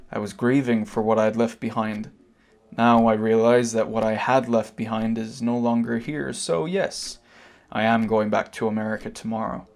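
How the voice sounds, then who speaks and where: An Irish man acting a composed, sardonic middle-aged emigrant in a stage drama, speaking in measured, formal phrases. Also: mid-range voice, gravelly, darkish tone, natural voice. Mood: cool, regretful.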